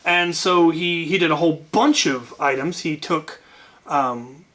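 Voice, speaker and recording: A man's voice: a little high, unhurried, bright, forceful tone. A man telling an anecdote casually as a channel host, wryly, often repeating words as he gathers his thoughts.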